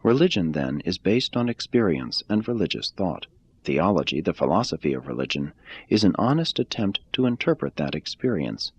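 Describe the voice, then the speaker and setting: A man reading a religious text aloud in a measured, deliberate way. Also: deep voice, very animated, breathy edge, dry recording, highs cut off. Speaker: reader.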